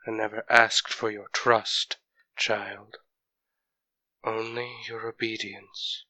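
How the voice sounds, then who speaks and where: A man voices an ancient vampire lord for an audio drama, cold and condescending. His voice is lowish and extremely sharp-edged, with slow, measured menace. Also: level tone, heavily acted, rough recording. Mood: cold, disappointed.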